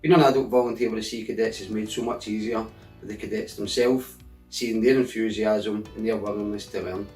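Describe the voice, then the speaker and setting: Low-voiced man in an echoey room. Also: steady tone, a little fast, darkish tone, soft background music. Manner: earnest, modest. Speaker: award winner, sea cadet volunteer. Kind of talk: recorded thank-you message.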